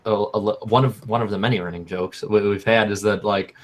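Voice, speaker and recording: A man's voice: on the low side, steady tone, fairly quick. A man in his twenties co-hosting a casual podcast call, who laughs often and stammers as he starts his sentences. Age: 20s.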